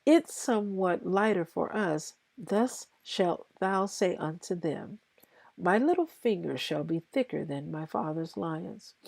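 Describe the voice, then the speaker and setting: Lady reading bible out loud real slow, lowish voice, halting phrases, dry close sound. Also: creaky, no echo. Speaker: scripture reader. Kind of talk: reading scripture aloud.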